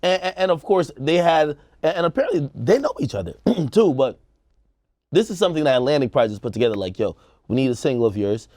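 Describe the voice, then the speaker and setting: A man's voice: a little high, very animated, fast, darkish tone, no echo, clean studio sound. A man co-hosting a music news show, talking in a casual, slangy way as he thinks aloud. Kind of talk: casual panel discussion, slangy commentary.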